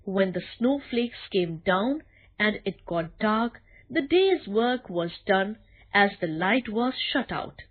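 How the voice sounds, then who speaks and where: Slow, lively woman storyteller, slightly muffled. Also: medium pitch. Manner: deliberate, expressive storytelling. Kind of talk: scripted children's bedtime story narration.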